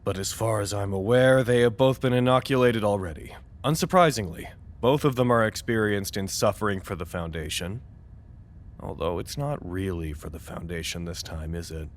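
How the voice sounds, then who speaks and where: Actor as a low-voiced solemn man narrating. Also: moderate pace, lightly acted. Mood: wry. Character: weary, reflective male staff member of a secretive facility. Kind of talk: scripted monologue narration in an audio drama.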